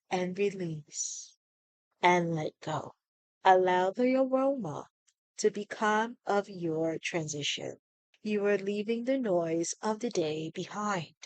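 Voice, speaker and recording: A woman leading a guided meditation in a soothing, deliberate manner, leaving pauses between short phrases. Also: medium pitch, darkish tone, dry recording, rough recording.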